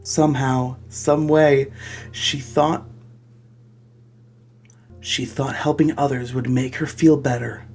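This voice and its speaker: A man's voice: medium pitch, steady tone, takes it slowly, long pauses, a little mellow, faint music behind. A man hosting a comedy video, reading fan fiction in a theatrical way and breaking off with mock-outraged comments. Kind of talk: dramatic comic reading of fan fiction with exasperated asides.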